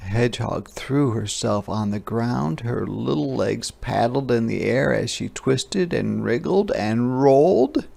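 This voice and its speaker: Male picture book reader, slow expressive delivery, slightly gravelly mid-range voice. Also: medium pitch. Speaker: story reader. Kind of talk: children's picture book read-aloud narration.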